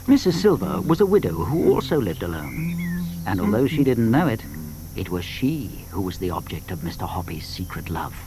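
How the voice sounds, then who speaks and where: A man narrating a dramatised children's story with expressive, measured phrasing. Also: low voice, background noise.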